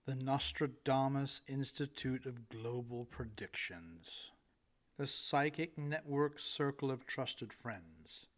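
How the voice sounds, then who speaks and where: Slow, deliberate male author narrating. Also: mid-range voice, fairly bright tone. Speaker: author reading own book. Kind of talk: audiobook narration of humorous essay.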